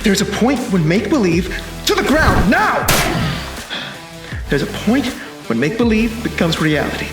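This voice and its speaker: A time-traveling bodyguard in a sci-fi action film. His voice is high and very animated, terse and commanding with a cocky edge. It rings in a roomy space with music clearly behind it. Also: normal speed, long pauses. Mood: urgent, commanding. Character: urgent male time-traveling protector from the future, commanding and cocky.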